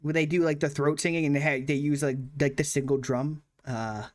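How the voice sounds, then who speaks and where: A woman's voice: low voice, steady tone, brisk, darkish tone. A woman hosting a late-night live stream, chatting casually and playfully, laughing often, with a slightly nasal voice. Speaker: streamer host.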